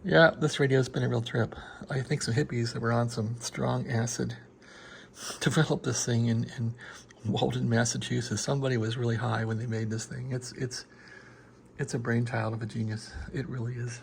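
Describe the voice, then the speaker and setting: A gravelly-voiced American man talks casually through a hobby project at an ordinary male pitch. His delivery is unscripted, folksy and rambling. It is recorded on a handheld phone with faint noise behind him. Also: flat delivery, normal speed. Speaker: radio hobbyist showing a restoration project. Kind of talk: casual unscripted show-and-tell.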